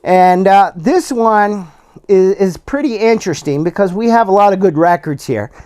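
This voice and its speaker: A man hosting a solo sports podcast, slightly hoarse and losing his voice, who gives bracket predictions in a casual, plain-spoken way with many fillers and restarts. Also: high-pitched, lively intonation, normal speed, a little mellow. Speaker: podcast host.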